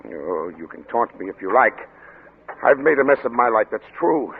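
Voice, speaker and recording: An actor playing a troubled, moralizing middle-aged doctor who speaks earnestly and hesitantly, in old radio drama style. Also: male voice, mid-range voice, very animated, normal speed, vocal fry, narrow, tinny audio. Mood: troubled, reproachful. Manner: hesitant, repeating words, earnest. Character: troubled, moralizing male family doctor, weary and anxious. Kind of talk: 1940s radio drama acting. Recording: thin, noisy old radio recording.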